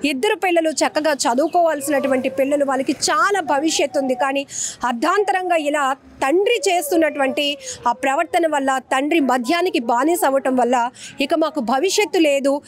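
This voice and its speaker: High-pitched woman delivering solemn news. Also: a little fast. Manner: solemn, earnest, appealing to viewers. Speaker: news presenter. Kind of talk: emotive news narration.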